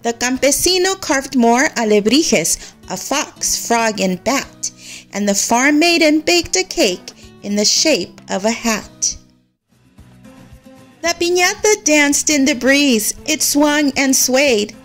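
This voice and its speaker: A woman narrating a children's story in an expressive, measured way, as a read-aloud storyteller. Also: sits high, soft background music.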